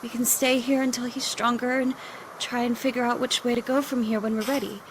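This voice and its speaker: A woman acts out a young desert refugee in a cinematic audio drama. Her voice sits fairly high, earnest and reassuring, devout and protective yet fearful, over faint background ambience. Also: level tone, a little fast, a little hiss or hum, lightly acted, poor audio. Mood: calm, protective.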